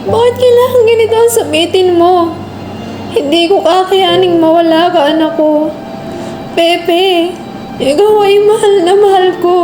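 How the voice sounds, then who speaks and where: A woman's voice: very high voice, unhurried, background noise. A woman playing an anguished, loving mother pleading over her son in an amateur radio drama. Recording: echoey room, music behind.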